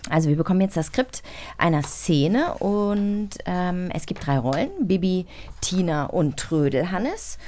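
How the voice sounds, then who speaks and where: A woman's voice: lowish voice, expressive, a little slow, dark tone, slight background noise. A woman co-hosting a podcast, speaking in a casual hosting style. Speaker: podcast co-host.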